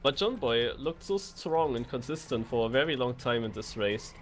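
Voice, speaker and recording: A man's voice: medium pitch, expressive, normal speed. A man giving conversational live commentary as a co-caster on an esports racing game. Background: music.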